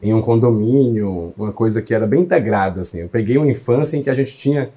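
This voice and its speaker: A young man, speaking in an interview as a guest. His tone is mellow and warm, and he tells his story in a fluent, reflective way. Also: on the low side, quick pace. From Salvador, Bahia, Brazil. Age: young adult. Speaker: journalist guest. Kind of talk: interview conversation.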